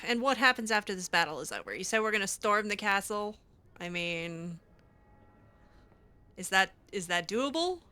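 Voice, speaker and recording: A woman doing casual, improvised in-character roleplay during a game, with an expressive, sassy, blunt manner. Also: medium pitch, normal speed, pauses often. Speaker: gameplay roleplayer voicing own character's replies.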